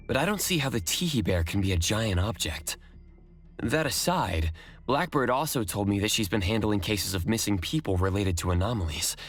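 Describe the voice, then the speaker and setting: Low-voiced, analytical male investigator, acted by a man. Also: close to an everyday voice. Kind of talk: video game voice acting.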